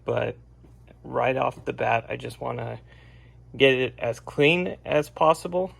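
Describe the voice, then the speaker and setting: A man giving a casual, unscripted, step-by-step narration of hands-on repair work, with a gravelly, creaky voice. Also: mid-range voice, measured pace, fairly bright tone. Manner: plain-spoken, unscripted step-by-step explaining. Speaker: radio restorer presenting a repair vlog.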